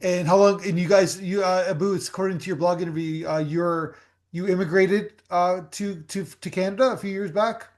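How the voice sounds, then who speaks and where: A man's voice: high voice, fairly even intonation, moderate pace, darkish tone. A man hosting a podcast interview who talks casually, rambles, and often restarts and repeats his words. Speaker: podcast host.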